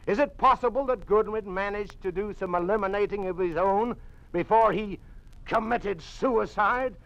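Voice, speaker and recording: A man's voice: sits high, normal speed, vocal fry, fairly bright tone, muffled recording. An American man playing a middle-aged doctor in an old radio drama, defiant and accusatory in an emphatic delivery. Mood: defiant accusing. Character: indignant male doctor defiantly challenging communist officials. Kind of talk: 1950s radio drama acting.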